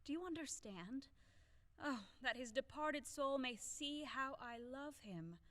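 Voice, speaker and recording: An actress playing a proud, grieving young widow in an audio play, theatrical and lamenting. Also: female voice, high-pitched, expressive, a little slow, a bit bright. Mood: mournful. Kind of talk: audio play acting of a classic stage comedy.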